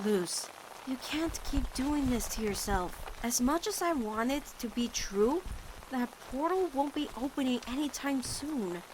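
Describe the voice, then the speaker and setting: A woman's voice: high voice, animated, a little slow, noisy background. A woman voicing a caring, protective teenage girl in a cartoon fan dub, earnest and emphatic as she pleads with her girlfriend. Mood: gentle worry. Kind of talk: animated-series fan dub drama acting.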